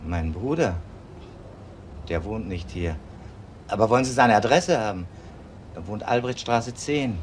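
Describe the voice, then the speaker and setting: An actor playing a protective, frank shopkeeper in a crime drama, talking in a chatty, colloquial way. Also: male voice, fairly low pitch, expressive, measured pace, long pauses, slight background noise. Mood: polite, wary. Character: protective, frank male shopkeeper, a convict's brother. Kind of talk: German TV crime drama acting.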